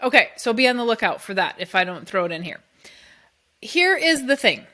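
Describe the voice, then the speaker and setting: A woman's voice: on the high side, bright, forceful tone. A middle-aged woman hosting her own podcast, explaining insurance in a casual, emphatic way and quoting clients along the way. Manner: emphatic, conversational, quotes clients in asides. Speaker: podcast host and insurance advisor. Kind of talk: casual solo podcast talk.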